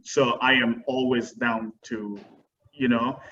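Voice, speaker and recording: A man's voice: mid-range voice, steady tone, slow pace, bright, forceful tone. A young man, a guest dance teacher, answering webinar questions earnestly with frequent ums. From Barbados. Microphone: video call. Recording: dull distant video-call audio with faint noise.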